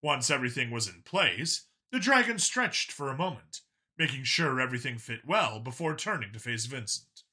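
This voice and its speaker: A man's voice: mid-range voice, very animated, normal speed, sharp tone, slightly rough. A man reading scripted fiction as an audiobook narrator.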